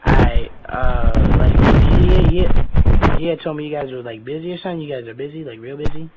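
Male prank caller, cocky and pushy, rapid slangy delivery, clicky noisy game-chat audio. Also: on the high side, narrow, tinny audio. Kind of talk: improvised prank phone call, slangy street talk.

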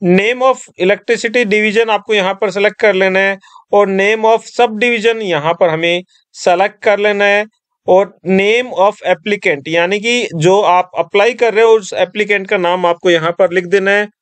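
High-voiced man giving step-by-step instructions. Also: a little slow, a bit bright, smooth, no echo. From Rajasthan. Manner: step-by-step instructing, addresses viewers as friends. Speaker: tutorial presenter. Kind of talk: screen-recorded tutorial voice-over.

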